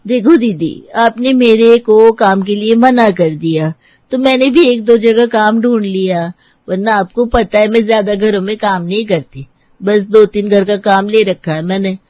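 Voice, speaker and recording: A woman's voice: mid-range voice, measured pace, darkish tone, no room sound, close to an everyday voice. A woman playing a shrewd, stubborn older housemaid in an audio story, with a colloquial servant's way of talking. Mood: pointed, bargaining. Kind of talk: scripted audio story dialogue.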